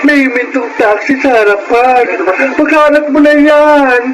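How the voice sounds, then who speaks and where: A man playing an excitable, exclamatory male neighbour in a Filipino radio drama. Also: very high-pitched, moderate pace. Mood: excited, astonished. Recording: loud background noise.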